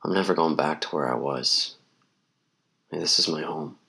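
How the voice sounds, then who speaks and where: A man playing a gentle, protective young sailor in an audio drama, speaking softly and sincerely. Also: a bass voice, moderate pace, vocal fry, heavily acted. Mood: wistful.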